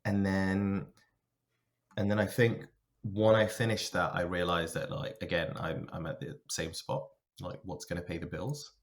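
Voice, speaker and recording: A man's voice: sits low, level tone, unhurried. A man talking casually as a podcast guest about himself, thinking aloud as he goes. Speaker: podcast guest, software engineer and linguist. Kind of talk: casual conversational interview, telling own career story.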